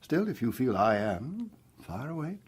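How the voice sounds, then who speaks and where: An actor playing a courteous, guarded gentleman traveller in a radio drama. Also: mid-range voice, big pitch swings, measured pace, slightly rough. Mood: reserved, attentive. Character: reserved, courteous gentleman traveller lending an ear.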